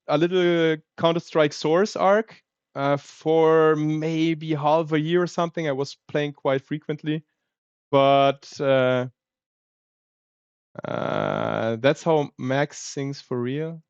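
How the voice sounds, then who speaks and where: Slow, smooth-voiced man with a German accent. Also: a little high, dry recording, lo-fi sound. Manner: rambling, thinks aloud with many fillers. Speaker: streamer. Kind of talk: casual livestream chat.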